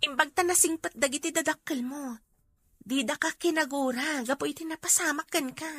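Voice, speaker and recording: Woman acting high, raspy, emotional young Ilocano woman. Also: a bit bright, no echo. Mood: sympathetic. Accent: Filipino, Ilocano. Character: emotional young woman, daughter and loyal friend.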